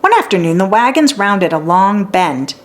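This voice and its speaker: A woman narrating a children's story in an expressive read-aloud style for young listeners. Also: medium pitch, moderate pace. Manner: acts out dialogue and sounds out letters.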